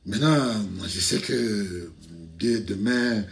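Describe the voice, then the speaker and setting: Outspoken low-voiced man talking to camera. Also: takes it slowly, vocal fry. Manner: outspoken, rising to animated outbursts, many restarts and fillers. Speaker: video commentator. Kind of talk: casual political commentary to camera. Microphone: camera, speaking to it directly.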